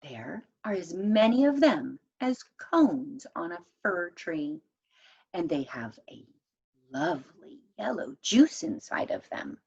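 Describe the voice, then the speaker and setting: A woman voicing a kindly, knowing thrush in a storybook, explaining gently and reassuringly. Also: fairly low pitch, big pitch swings, slow, creaky, husky, highs cut off, heavily acted.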